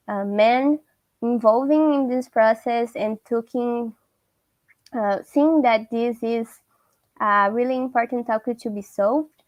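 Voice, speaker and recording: Slow-talking woman, dull dry audio. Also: a little high, no room sound. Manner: hesitant, searching for words. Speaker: panel guest. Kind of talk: online panel discussion.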